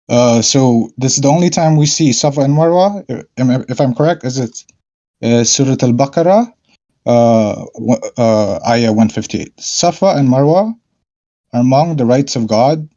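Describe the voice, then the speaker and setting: A man calling into an online discussion, speaking hesitantly with many fillers and frequent throat clearing. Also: mid-range voice, unhurried, dark tone, dull audio. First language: not Arabic. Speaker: caller. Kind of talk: casual debate call-in.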